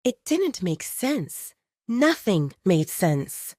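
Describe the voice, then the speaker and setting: A woman reading a scripted story as an audiobook narrator, with an expressive, dramatic storytelling delivery. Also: medium pitch, extremely slow, dry recording, clean studio sound.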